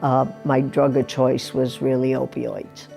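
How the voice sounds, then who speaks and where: A woman in her sixties with a very low voice and a heavy, gravelly rasp. She speaks slowly, plainly and candidly. Soft guitar plays faintly behind her. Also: little pitch movement. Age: 60s. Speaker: person in recovery. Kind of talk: personal testimony interview.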